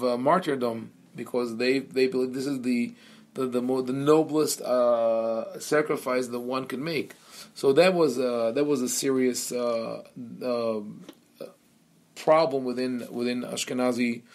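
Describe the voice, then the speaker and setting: Brief: man from Israel, rabbi teaching a seminar, mid-pitched, stop-start delivery full of fillers. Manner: hesitant, many fillers and restarts. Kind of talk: lecture.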